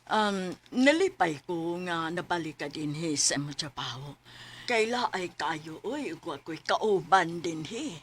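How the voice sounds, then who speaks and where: An actress playing a friendly older coworker and chatty matchmaker with a Cebuano accent in a radio drama. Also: female voice, fairly low pitch, expressive, slow pace, a little hiss or hum. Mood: chatty, encouraging. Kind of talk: Filipino radio drama acting.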